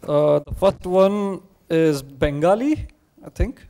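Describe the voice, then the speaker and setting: A man's voice: sits high, lively intonation, slow pace, creaky, mellow. A young man from India with an Indian English accent, a native Kannada speaker, chatting hesitantly as a guest on a TV studio set. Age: young adult. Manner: hesitant, thinks aloud with many uh's. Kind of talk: casual studio chat. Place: TV studio set, seated on sofa.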